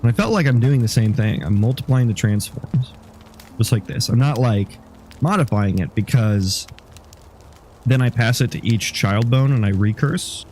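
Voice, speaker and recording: A man's voice: mid-range voice, moderate pace, a little mellow, slight background noise. A sniffly man, a game developer, talking casually through his code and rambling as he thinks aloud. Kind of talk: casual dev log.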